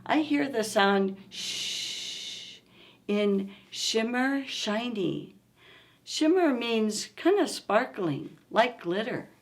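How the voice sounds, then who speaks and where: A woman hosting a storytime, reading a picture book aloud to young children in an expressive, coaxing way and asking them questions. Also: a little high, drawn-out words.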